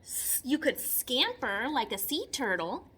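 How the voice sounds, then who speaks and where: A woman's voice: high-pitched, sing-song, a little slow, background noise. A woman teacher reading a picture book aloud to children, expressively, with simple chatty explanations, repetition and questions. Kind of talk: read-aloud lesson with chatty explanatory asides.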